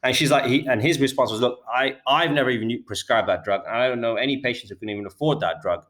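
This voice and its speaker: A British man in his thirties answering interview questions about his company, talking quickly and often repeating words as he restarts. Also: mid-range voice, a bit bright. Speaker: healthcare data company founder.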